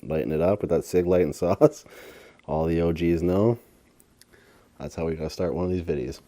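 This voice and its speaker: Male food vlogger, low and relaxed, laid-back slang, casual and playful chat. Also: normal speed. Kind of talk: casual eating vlog chat to viewers.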